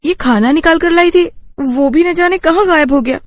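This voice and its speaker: A woman's voice: very high voice, moderate pace, pitched above the performer's own voice. A woman voicing a frightened young bride in a cartoon horror story, anxious and insistent. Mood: uneasy. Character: frightened young bride new to a haunted mansion.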